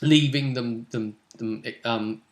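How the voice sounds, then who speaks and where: A man's voice: mid-range voice, slow. A man chatting casually as a tabletop game master, blunt and given to swearing. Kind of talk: casual chat recapping a tabletop role-playing session. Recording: roomy, echoey indoor recording.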